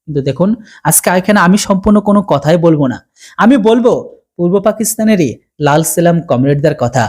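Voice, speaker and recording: Indian bengali news channel host, talks fast, doing commentary. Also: mid-range voice, expressive, darkish tone.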